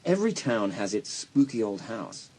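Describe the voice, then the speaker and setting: An actor playing a concerned, reassuring school counselor in an audio drama, speaking earnestly and soothingly with some hesitation. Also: male voice, fairly low pitch, very animated, normal speed, a little mellow, slightly rough, heavily acted.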